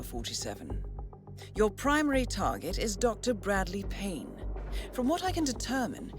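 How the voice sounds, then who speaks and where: An actress playing a composed intelligence handler in a video game, giving formal, measured and precise mission briefings to an assassin. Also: female voice, medium pitch, natural voice. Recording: music playing loudly behind the voice.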